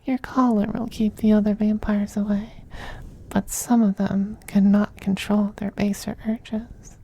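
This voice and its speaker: A performer voicing a possessive, androgynous male vampire master in an ASMR roleplay, soft, breathy and often whispering, coaxing and condescending. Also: medium pitch, level tone. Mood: silky, anticipating. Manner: slow, purring, coaxing, condescending. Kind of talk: ASMR roleplay, intimate scripted monologue.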